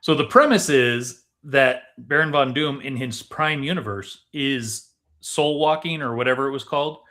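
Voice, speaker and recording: A man with a medium-pitched voice co-hosts a casual movie discussion. He explains ideas in a measured, conversational way, laying them out piece by piece. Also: bright, forceful tone. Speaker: podcast co-host.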